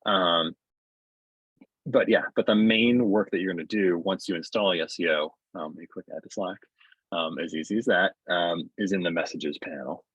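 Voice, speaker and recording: A middle-aged man giving a casual webinar demo in a halting, conversational way, on dull video-call audio. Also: low voice, a bit bright, slightly rough, no echo. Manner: halting, conversational, often checks with "right?". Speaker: software developer presenting his tool. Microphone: earbuds on a video call.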